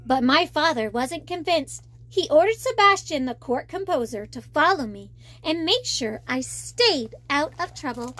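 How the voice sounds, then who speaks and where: Female children's storyteller, high voice, slow and very expressive reading.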